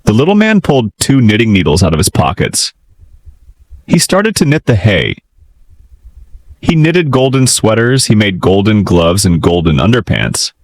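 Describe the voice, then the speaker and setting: A male storyteller reads a fairytale with theatrical expression. His voice sits a little low but moves in lively swings, and the recording is close and dry. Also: moderate pace, dry recording. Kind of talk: dramatic children's fairytale narration.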